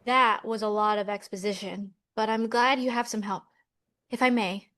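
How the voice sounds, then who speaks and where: An actress playing a sceptical, deadpan Millennial American twin in a romcom table read. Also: female voice, medium pitch, moderate pace, close to an everyday voice. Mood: wry, practical.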